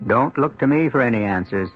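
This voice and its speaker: A man hosting a radio drama, narrating in a solemn, deliberate and ominous manner. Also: medium pitch, animated, faint music behind. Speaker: host and narrator. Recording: dull 1970s radio recording.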